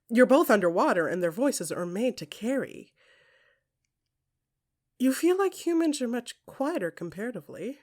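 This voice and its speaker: A woman performing intimate second-person audio fiction, expressive and often hushed, with deliberate pauses between short phrases. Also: fairly high pitch. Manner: expressive, swinging from soft musing to emphatic bursts.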